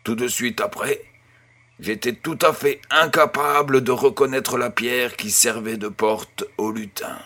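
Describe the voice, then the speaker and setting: A man playing a kindly grandfather who tells a bedtime tale in a measured, gentle, husky voice. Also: mid-range voice. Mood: storytelling, wondering. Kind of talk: scripted audio storytelling.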